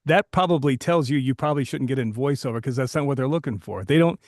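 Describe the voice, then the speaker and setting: A man's voice: mid-range voice, fast, darkish tone, no echo, clean studio sound. A man co-hosting a podcast as a voiceover coach, chatting casually and joking with candid, occasional swearing.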